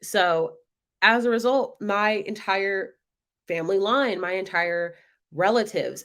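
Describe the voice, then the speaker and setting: A young woman from North Carolina, hosting a web show and talking through her stories in a casual monologue, lively when she quotes other people. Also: mid-range voice. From North Carolina, United States. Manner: animated, acts out others' voices in quotes.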